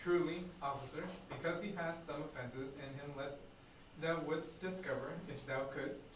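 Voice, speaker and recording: A man's voice: fairly high pitch, fairly even intonation, moderate pace. A man, a student, reading old stage dialogue aloud in a classroom, away from the microphone. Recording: echoey room, distant mic, faint noise.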